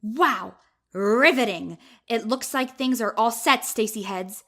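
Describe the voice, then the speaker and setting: An actress playing a perky, sardonic young vlogger in an audio drama, with showy, sarcastic patter. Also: female voice, a little high, takes it slowly, pushed and bright, heavily acted.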